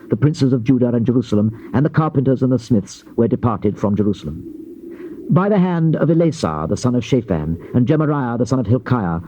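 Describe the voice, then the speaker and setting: An actor playing a grave Old Testament prophet in a dramatized scripture reading, declaiming in measured, archaic phrases. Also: male voice, mid-range voice, warm tone, natural voice. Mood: formal, proclaiming. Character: solemn male Old Testament prophet proclaiming divine judgment. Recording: dull, noisy old cassette tape.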